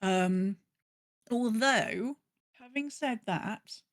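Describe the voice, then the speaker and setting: A woman co-hosting a podcast, chatting casually about gaming in an animated, rambling way and acting out her own thoughts. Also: a little high, extremely slow, no echo, studio quality. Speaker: podcast co-host.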